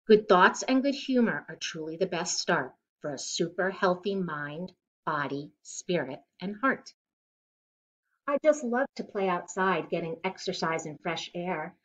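A middle-aged woman reads a picture book aloud to children. Her voice sits a little low but rises and falls in a lively, expressive way. The sound is a slightly muffled home recording from a living room. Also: measured pace. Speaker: children's storybook reader.

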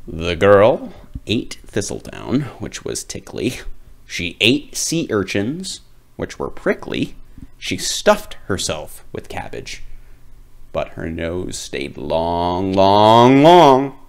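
Male storyteller, slow pace, hugely varied pitch, dramatic emphasis, expressive children's read-aloud. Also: vocal fry.

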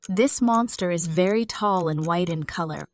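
Low-pitched woman narrating a quiz. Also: moderate pace.

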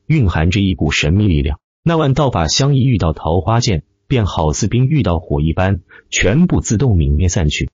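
Husky, animated male audiobook narrator. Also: low voice, dry recording, dull audio. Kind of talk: dramatic scripted web-novel narration.

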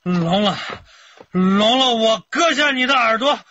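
Drunk bellowing village man, slurred, slow drawl, bright edge, radio play. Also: high voice, animated, no echo, heavily acted. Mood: drunk, menacing. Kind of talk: radio drama acting. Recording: dull lo-fi radio play audio.

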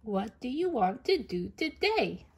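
Woman as a gentle little pig friend. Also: on the high side, sing-song, measured pace, husky, full character voice. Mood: concerned. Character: small, calm, caring female pig friend.

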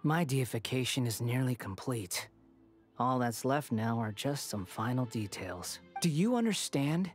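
An actor voicing an arrogant young male would-be god in a video game, talking down in a smug, mocking, slow lecturing way. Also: mid-range voice, lightly acted.

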